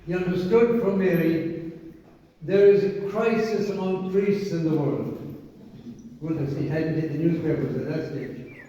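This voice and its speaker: A man's voice: high-pitched, measured pace, warm tone, slight background noise. An older man with an Irish accent giving an informal talk to a group as a priest, telling stories with asides. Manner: conversational, storytelling with asides and quotes. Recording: echoey hall, distant mic, dull sound.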